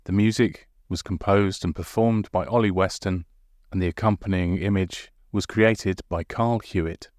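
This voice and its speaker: A man's voice: sits low, fairly even intonation, normal speed, a little mellow, dry recording, studio quality. A man hosting a podcast, speaking to listeners as its writer and presenter. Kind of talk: podcast presenting, credits and informal asides.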